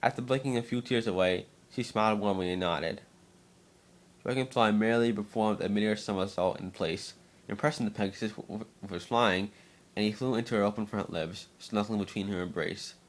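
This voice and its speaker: A man with a fairly low voice narrates a storybook-style fan tale. His reading is amateur, with occasional stumbles and repeated words. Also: brisk.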